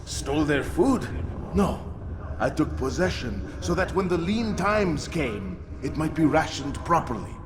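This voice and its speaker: An actor playing a proud, self-righteous dying city overlord in a video game, emphatic and self-justifying. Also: male voice, a little high, sing-song, unhurried. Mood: proud, defensive. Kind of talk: video game villain acting. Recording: long echoing reverb, clear background noise.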